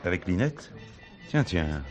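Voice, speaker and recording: A man's voice: sits low, very animated, a little slow, over music. An actor playing a polite, probing police inspector in a French stage play, courteous and businesslike in his questioning. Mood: intrigued, businesslike. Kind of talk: French stage comedy-mystery acting.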